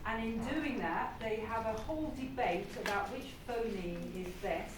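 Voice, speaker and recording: A woman at an academic conference, discussing in a thoughtful, measured way. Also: on the high side. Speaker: conference participant. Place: conference room. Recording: distant mic in echoey hall with noise.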